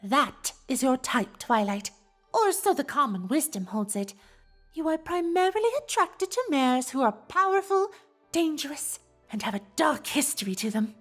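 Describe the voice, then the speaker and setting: A woman's voice: sits high, big pitch swings, soft background music. A woman voicing an elegant, teasing cartoon unicorn socialite in a fan fiction audio drama, with an affected, genteel, gossipy delivery. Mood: knowing, teasing. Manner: affected, genteel, theatrical delivery with drawn-out words.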